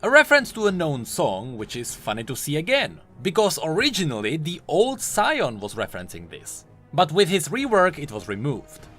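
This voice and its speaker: A man's voice: on the high side, huge pitch swings, normal speed, hardly any pauses, fairly bright tone. A man hosting a video, giving scripted commentary on a game character in an explainer style. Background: music.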